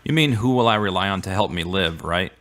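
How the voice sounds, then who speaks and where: Radio drama role, played by a man: sincere, devout, plain-spoken man, lowish voice, saddened in love. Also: lively intonation, fairly quick, fairly bright tone. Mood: wounded, questioning. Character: earnest, devout man saddened by a fading romance.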